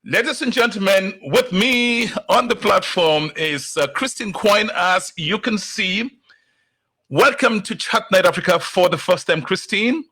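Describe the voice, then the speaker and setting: Slow, emphatic, bright-voiced male host. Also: sits high, slightly rough. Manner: slow, deliberate, emphatic delivery. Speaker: talk show host. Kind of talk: motivational monologue and interview.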